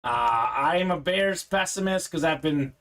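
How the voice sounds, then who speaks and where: Male host from Bulgaria, fairly high voice, casual running chat about the pulls. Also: lively intonation, unhurried, a bit bright. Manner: chatty, reads out viewer comments. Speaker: live card break host. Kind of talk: casual live-stream chat.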